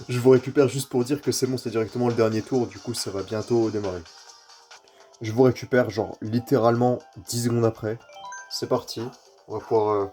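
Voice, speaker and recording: Man, stuffy cold voice, mellow tone, rambling gaming chatter, faint music behind. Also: mid-range voice. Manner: rambling and filler-heavy, mild swearing. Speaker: gaming youtuber. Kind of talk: casual gameplay commentary.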